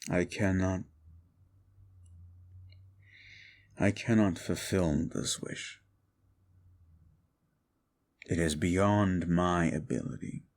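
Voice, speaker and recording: A man voicing a deep gravelly fallen demon. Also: fairly even intonation, a little mellow. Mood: bitter. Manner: slow, lecturing, condescending to the listener. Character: brooding fallen demon, ancient, bitter and proud. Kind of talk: audio roleplay drama.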